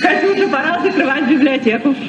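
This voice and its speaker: An actress playing a kindly, sentimental woman librarian in an old children's radio play, with an expressive theatrical delivery. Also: sits high, brisk, distant mic, noisy background. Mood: brisk, fussing. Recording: muffled old radio recording, music behind.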